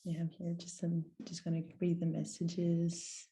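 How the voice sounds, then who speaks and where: A woman's voice: lowish voice, monotone, unhurried, mellow. A woman moderating an online panel, thanking speakers in a friendly way.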